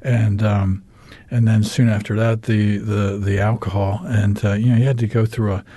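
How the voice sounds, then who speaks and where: A man in his fifties with an American accent, a guest on a radio show who tells his story in a rambling, halting way, full of ums and uhs, with wry humor. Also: lowish voice, little pitch movement, mellow, dry recording. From United States. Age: 50s. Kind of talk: candid storytelling interview.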